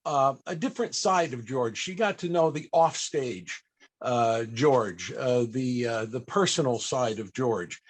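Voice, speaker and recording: A man hosting an interview over a video call, speaking off the cuff in a halting, courteous and formally appreciative way. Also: on the high side. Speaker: panel host and interviewer. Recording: video call with occasional breakup.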